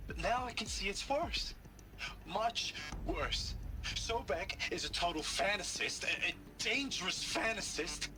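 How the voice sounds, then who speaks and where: A man's voice: high-pitched, animated, a little slow, background noise. A man voicing an indignant, scornful, sarcastic recruit in a video game, exasperated and emphatic. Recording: music behind the voice. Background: game soundtrack music.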